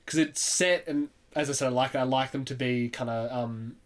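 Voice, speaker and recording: A man's voice: medium pitch, moderate pace. A man on a podcast panel giving his opinions in a casual, conversational way.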